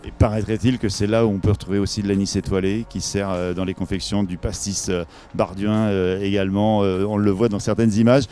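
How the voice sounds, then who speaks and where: A man's voice: fairly low pitch, flat delivery, brisk, few pauses, mellow, soft background music. A man presenting a promotional event interview, improvising and often searching for his words.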